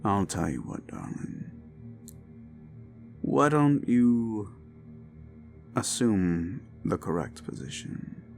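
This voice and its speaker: A man voicing an unhinged, possessive young prince who speaks with soft-spoken menace and slips into whispers, over faint dark music. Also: fairly low pitch, sing-song, slow pace, creaky, pauses often, full character voice. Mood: commanding. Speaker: voice actor. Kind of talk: audio roleplay drama.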